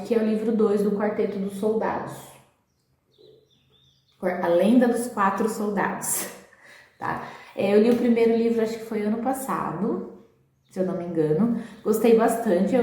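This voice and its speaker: A Brazilian woman chatting casually at home for a reading vlog, often ending sentences with a quick "right?". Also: mid-range voice, mellow. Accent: Brazilian Portuguese. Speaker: book vlogger. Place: at home, echoey room. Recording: very echoey room, faint background hiss.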